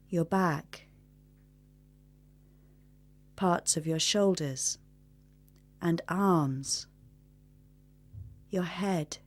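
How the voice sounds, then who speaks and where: A woman leading a guided meditation in a gentle, measured manner, leaving long pauses between instructions. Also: lowish voice.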